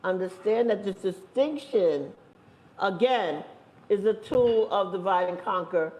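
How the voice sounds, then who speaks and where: A woman's voice: mid-range voice, a little mellow. Emphatic woman panelist in an echoey room. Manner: emphatic, repeats phrases, stresses points slowly. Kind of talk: impassioned panel talk.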